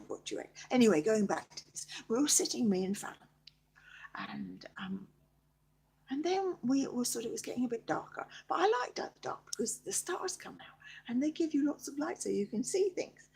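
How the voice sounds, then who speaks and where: A woman improvising a bedtime story in a halting, childlike, theatrical way, with a breathy, husky voice that often repeats itself. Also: fairly high pitch. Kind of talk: improvised bedtime story, told in character as part of it.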